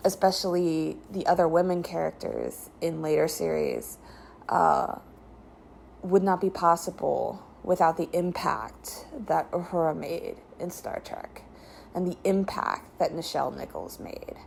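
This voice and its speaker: A woman delivers a solemn personal tribute very slowly, in measured, halting phrases. Her voice is gravelly with fry, and faint ambient noise sits behind it. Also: low voice. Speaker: podcast co-host giving a guest tribute.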